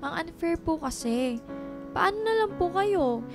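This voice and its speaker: A woman's voice: very high voice, lively intonation, a little slow, exaggerated voice. A woman playing a devoted, hardworking young daughter in her twenties in a Filipino radio drama, earnest and insistent. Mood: earnest, tender. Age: 20s. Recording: music behind the voice.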